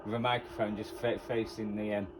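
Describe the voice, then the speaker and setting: A man's voice: fairly low pitch, little pitch movement, a little fast. A man giving casual, unscripted commentary as a vlogger, rambling and muttering to himself. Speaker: amateur vlogger going through old tapes. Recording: very noisy amateur recording with clicks.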